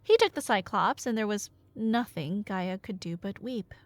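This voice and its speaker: A woman's voice: mid-range voice, lively intonation, moderate pace, natural voice. A woman playing a nerdy, teasing girlfriend in an ASMR roleplay, rambling through Greek myths with dramatic narration and casual sarcastic asides. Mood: dramatic storytelling. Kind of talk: ASMR roleplay storytelling to a listener.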